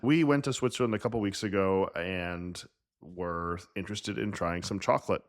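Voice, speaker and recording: Super low-voiced guy hosting a podcast, laid back, teasing, chuckles easy. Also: moderate pace. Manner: relaxed, teasing, easy laughs. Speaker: podcast host. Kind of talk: casual podcast chat.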